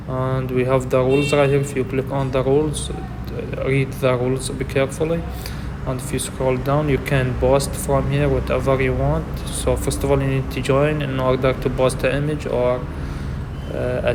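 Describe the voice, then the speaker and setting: A man whose first language is Arabic, narrating an app tutorial in a halting way, with frequent fillers and simple grammar. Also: mid-range voice, long reverb tail, background noise. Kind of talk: screen walkthrough narration.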